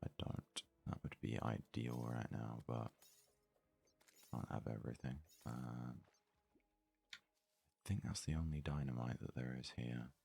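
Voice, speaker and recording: A man giving casual ASMR let's play commentary in a very soft, hushed, breathy voice, murmuring his thoughts aloud without hurry. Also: extremely low pitch, steady tone. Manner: unhurried, murmured thinking aloud. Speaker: gaming video host.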